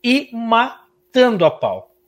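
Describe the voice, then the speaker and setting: A man's voice: extremely high pitch, wildly swooping pitch, slow. A man with a southern Brazilian gaúcho accent, a musician being interviewed, talking in a heartfelt, emotional way. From Santa Catarina, Brazil. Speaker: musician guest. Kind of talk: interview conversation.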